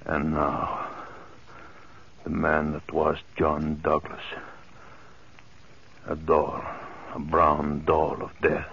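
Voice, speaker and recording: An actor playing an unhinged, obsessive murderer in 1940s radio horror, speaking haltingly with heavy, brooding pauses. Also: male voice, very deep voice, completely flat delivery, gravelly, fairly bright tone, full character voice. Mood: brooding, gloating. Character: unhinged, obsessive male murderer gloating over his captive. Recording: muffled, hissy 1940s radio recording.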